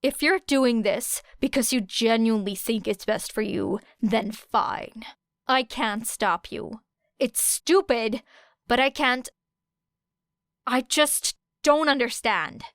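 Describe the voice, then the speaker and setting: A woman voicing a fierce, blunt teenage girl with a sharp, growling delivery in an audiobook. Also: fairly high pitch, slow pace, no echo, clean studio sound. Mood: exasperated.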